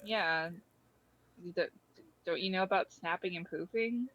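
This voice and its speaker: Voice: mid-range voice, measured pace, fairly bright tone, muffled recording, lightly acted. A performer playing the Void, a laid-back, mildly annoyed cosmic entity, casual and dry, in an audio drama. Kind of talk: hobby audio drama dialogue.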